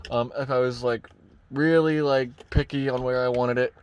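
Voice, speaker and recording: A man's voice: medium pitch, fairly even intonation, normal speed, a little hiss or hum. A man giving an informal hands-on tutorial inside a vehicle, rambling and thinking aloud as he goes. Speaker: vlogger presenting a truck radio install. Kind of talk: casual hands-on tutorial talk. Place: inside a parked pickup truck cab.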